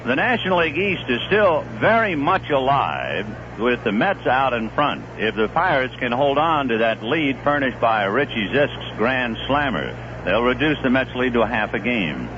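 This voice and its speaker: A man calling a baseball game live on the radio, swinging from calm analysis between pitches to rising excitement on big plays, in a 1970s broadcast. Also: normal speed, hardly any pauses, dull audio, background noise. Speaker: play-by-play announcer. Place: stadium broadcast booth.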